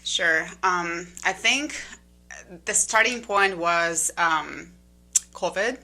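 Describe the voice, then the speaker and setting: A woman in her thirties from Ukraine, a guest telling her story in a relaxed, chatty way with many fillers and slightly phrasing. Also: fairly low pitch, extremely slow, faint music behind. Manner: relaxed, many "um"s and "like"s, slightly non-native grammar. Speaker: interview guest. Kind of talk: casual conversational storytelling.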